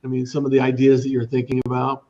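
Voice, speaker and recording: A man's voice: medium pitch, completely flat delivery, quick pace, warm tone, dull audio. A man hosting a business talk show, rambling and repeating words as he asks his guest questions, and joking at himself when he stumbles.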